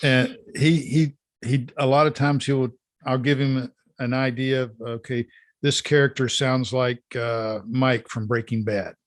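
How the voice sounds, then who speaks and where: A man's voice: medium pitch, steady tone, measured pace, no room sound. A man with a Florida accent hosting a podcast, folksy and plainspoken in casual talk. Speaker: podcast host.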